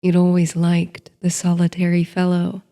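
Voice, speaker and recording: A woman narrating a sleep story like a guided meditation, in a gentle, soothing, unhurried manner. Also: lowish voice, monotone.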